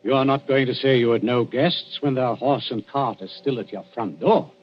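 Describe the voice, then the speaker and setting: An actor playing a genial, sly, insinuating police inspector in a 1940s radio drama, with folksy, wheedling politeness. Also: male voice, medium pitch, moderate pace, slight background noise, lightly acted. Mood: slyly menacing. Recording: muffled 1940s radio broadcast, faint music.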